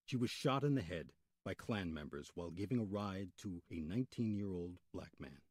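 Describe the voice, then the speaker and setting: A man narrating a scripted radio documentary as its host. His voice is lowish and husky, with lively intonation and deliberate dramatic emphasis. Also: dry recording, clean studio sound.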